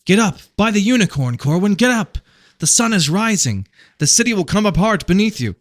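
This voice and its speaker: Male reader as storybook prince, urgent, loud, raised pitch, pressing, dry sound. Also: very animated, brisk, no room sound. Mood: alarmed, urgent. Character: urgent brotherly prince calling out in alarm.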